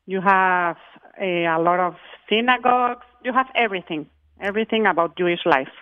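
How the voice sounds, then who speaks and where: A woman's voice: medium pitch, moderate pace. A woman from Mexico speaks with a Mexican Spanish accent over a tinny phone line. She talks carefully, stopping and restarting as she explains things. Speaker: charity group chair. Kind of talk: phone interview.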